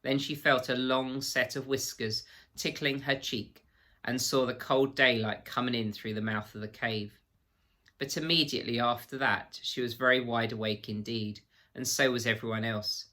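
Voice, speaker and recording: A man reads a children's story aloud with measured expression. His voice is bright and forceful at a middling pitch, in the manner of a teacher reading to pupils. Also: fairly even intonation.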